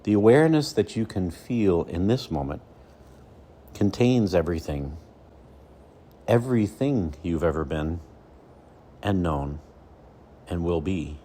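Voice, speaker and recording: Deep voiced guy doing guided meditation, slow n soothing, lots of pauses, bit of background noise. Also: expressive, dark tone. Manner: slow, soothing, with deliberate pauses between phrases. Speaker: meditation coach.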